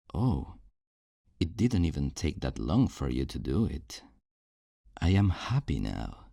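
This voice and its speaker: A man's voice: a bass voice, gravelly, mellow, no echo, clean studio sound, full character voice. A man playing a possessive, controlling kidnapper in an intimate ASMR roleplay, coaxing and condescending with menacing tenderness. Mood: possessive, tender. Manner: slow, coaxing, condescending pet-name talk.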